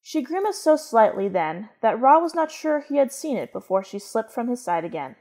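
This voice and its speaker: Woman narrator, measured storytelling. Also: a little high, very animated, darkish tone.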